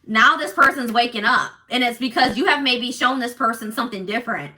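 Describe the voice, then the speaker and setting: A young woman reading tarot to her viewers, talking loudly and assertively, with many restarts and fillers. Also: fairly high pitch, a little fast. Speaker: tarot reader.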